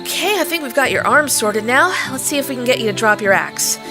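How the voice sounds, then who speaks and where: Young farm girl, high lively voice, sarcastic, plucky, blunt, audio drama. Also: a little fast, music in the background. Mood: friendly. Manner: wry, exasperated, blunt.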